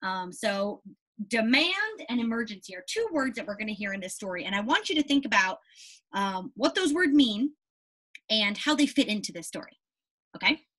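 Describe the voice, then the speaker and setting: A young woman speaks as a primary school teacher leading a read-aloud. Her voice sits a little high with a husky, unpolished edge. She is expressive and emphatic, repeating key words and checking in with her young listeners. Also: normal speed, rough recording. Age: young adult. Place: small indoor room with a whiteboard.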